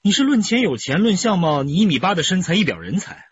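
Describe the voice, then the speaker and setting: Sardonic male detective, slightly high voice, probing, radio drama, dull sound. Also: sing-song, fast talker, husky, exaggerated voice. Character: wry, probing male police interrogator. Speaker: actor in police radio drama. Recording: muffled, faint background noise.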